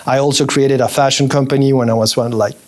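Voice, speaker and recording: A man in his forties, a native German speaker who grew up partly in Colombia, telling his career story as a podcast guest in a quick, animated way. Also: medium pitch. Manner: fast, animated storytelling with restarts. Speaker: interview guest, fashion executive. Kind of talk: casual interview chat.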